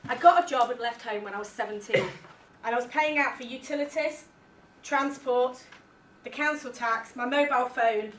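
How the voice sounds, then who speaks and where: A woman's voice: fairly high pitch, normal speed, close to an everyday voice. A woman playing a debtor who tells a court about money troubles, in a training film. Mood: matter-of-fact recounting. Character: Joe, young debtor telling a money-troubles story in court. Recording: echoey, distant, noisy room.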